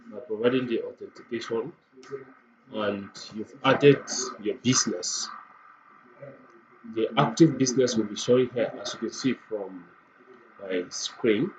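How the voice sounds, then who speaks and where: A man giving an unscripted screen-share software tutorial, explaining step by step and repeating points for emphasis. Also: medium pitch. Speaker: software demo presenter. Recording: muffled lo-fi audio, clear background noise.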